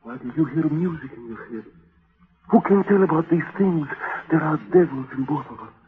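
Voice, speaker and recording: An actor playing a sinister, bitter musician, insinuating and intense in 1940s radio drama style. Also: male voice, fairly high pitch, expressive, moderate pace, lightly acted. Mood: menacing coaxing. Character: sinister, bitter cafe musician, coaxing and hypnotic. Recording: tinny band-limited old radio recording.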